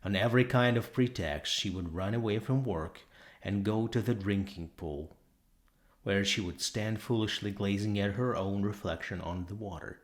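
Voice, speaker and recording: A man reading a literary story as an audiobook narrator, with measured, deliberate, dramatic phrasing. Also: on the low side.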